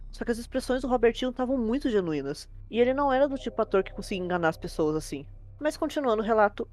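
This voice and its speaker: A woman's voice: fairly high pitch, a little mellow. A woman with a Brazilian accent narrating a horror tale as host, in an informal, fluent, conversational way. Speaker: host and storyteller.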